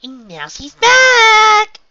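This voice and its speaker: A man's voice: extremely high pitch, wildly swooping pitch, drawn-out words, sharp tone, lo-fi sound, voice pushed up, heavily acted. A man voicing a hyper, bouncy female party pony in a storybook, exclaiming in a sing-song manner. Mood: gleeful.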